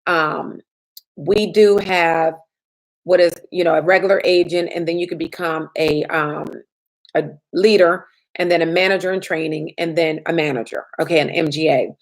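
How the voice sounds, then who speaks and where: A woman insurance trainer answers viewer questions in a chatty live stream. Her voice sits a little low and has a slight rasp from lack of sleep. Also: fairly even intonation, moderate pace, rough recording.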